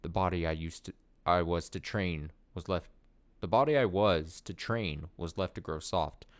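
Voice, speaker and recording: Deep-voiced man casually streaming a game. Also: moderate pace, dry recording. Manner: chatty, excitable, reads item text aloud. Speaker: streamer playing a video game. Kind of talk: casual gameplay commentary.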